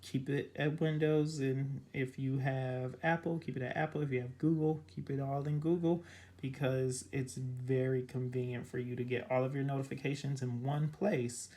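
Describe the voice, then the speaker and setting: A young adult vlogger with a raspy, cracking voice, casually walking through an app in a rambling way, full of ums and likes. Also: medium pitch, fairly even intonation, moderate pace, mellow. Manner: rambling, filler-heavy, with many ums and likes. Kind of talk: casual screen walkthrough.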